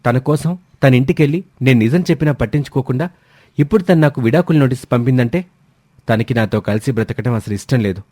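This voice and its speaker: Guy narrator doing a hurt angry young husband, emphatic and indignant, story drama, mid pitch. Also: fast talker, mellow, dry recording, studio quality, natural voice.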